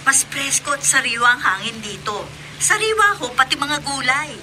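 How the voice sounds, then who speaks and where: High-voiced married daughter, noisy track. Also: female voice, sing-song, normal speed. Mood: content, persuasive. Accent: Filipino. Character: respectful, content married grown-up daughter. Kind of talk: Filipino radio drama acting.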